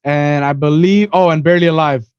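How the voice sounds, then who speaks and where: A man's voice: a little high, fairly even intonation, fairly quick, a little mellow, smooth, dry recording, highs cut off. A man in his twenties from Miami, a podcast guest rambling through his story in casual chat, full of "you know" and "bro". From Miami, USA. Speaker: podcast guest, event organizer.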